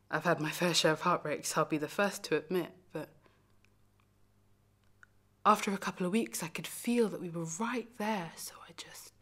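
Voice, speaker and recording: A woman performing a dramatic monologue as a lonely, heartbroken young lover, confessional and emphatic, moving between hushed and forceful delivery. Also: lowish voice, natural voice. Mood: hurt, confiding. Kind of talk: dramatic stage monologue, self-taped acting showreel.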